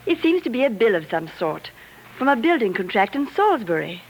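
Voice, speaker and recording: An actress playing a coquettish, inquisitive young newlywed wife with a theatrical manner in a 1940s radio drama. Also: female voice, fairly high pitch, very animated, a little fast, a little hiss or hum, rough recording. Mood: puzzled. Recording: 1940s radio broadcast recording.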